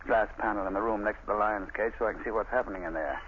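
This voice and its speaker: A man's voice: on the low side, quick pace, narrow, tinny audio, natural voice. An actor playing a cruel, scheming male gang boss who taunts his captive, in 1930s radio acting. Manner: taunting, mocking. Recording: scratchy 1930s radio broadcast.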